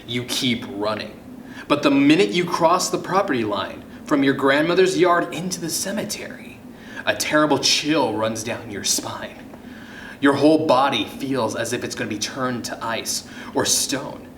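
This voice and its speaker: A man reading a children's story aloud as host, with suspenseful pauses and hushed drops in his delivery. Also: medium pitch, animated. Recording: roomy echo, faint background noise.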